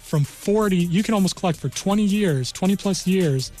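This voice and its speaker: A man's voice: high voice, normal speed, darkish tone, faint music behind. An American man in his thirties from New Mexico, explaining things informally as a guest career counselor in a conversational way. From New Mexico, United States. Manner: conversational, explains with hypothetical examples.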